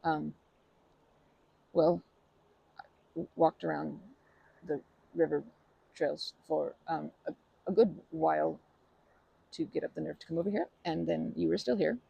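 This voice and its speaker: A woman playing a timid, kind park regular in an ASMR roleplay, speaking softly in halting, stammering, self-correcting sentences. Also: sits low, steady tone, creaky, slightly rough, no room sound, muffled recording, full character voice. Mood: nervous, hesitant. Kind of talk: ASMR roleplay, improvised-sounding intimate monologue.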